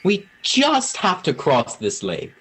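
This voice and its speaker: A performer playing a calm, cryptic forest guide who speaks solemnly in an amateur radio play. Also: medium pitch, slight background noise, exaggerated voice. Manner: slow, solemn, knowing.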